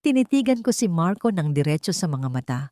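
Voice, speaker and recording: Low-voiced woman narrating drama. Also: sing-song, warm tone, no echo, clean studio sound. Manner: measured, dramatic storytelling. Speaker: audiobook narrator. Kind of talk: scripted first-person dramatic narration.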